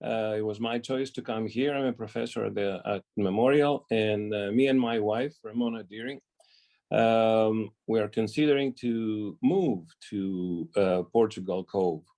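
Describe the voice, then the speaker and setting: A man's voice: fairly low pitch, unhurried, warm tone. A middle-aged man from Greece with a Greek accent, speaking hesitantly with many fillers as a community member on an online public meeting. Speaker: community member asking a question. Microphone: video call.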